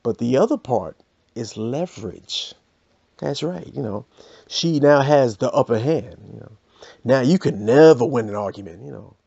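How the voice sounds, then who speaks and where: A man's voice: medium pitch, lively intonation, moderate pace, gravelly, mellow, husky, dry recording, highs cut off. A man hosting a podcast, telling a comic story in a loose, chatty way full of "you know"s. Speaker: podcast host. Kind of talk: comic monologue.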